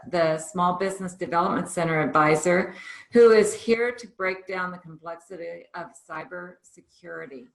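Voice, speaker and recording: A woman hosting a conference call, introducing things informally in a halting way with frequent pauses. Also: on the low side, a bit bright, echoey room, rough recording. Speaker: host of a monthly conference call. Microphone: remote conference call.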